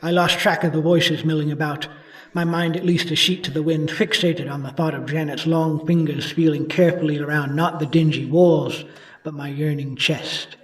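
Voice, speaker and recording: A man's voice: on the high side, steady tone, moderate pace, recorded from a distance, lightly acted. An actor playing a brooding, boozy older man who narrates a noir radio drama with world-weary regret. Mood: brooding, regretful.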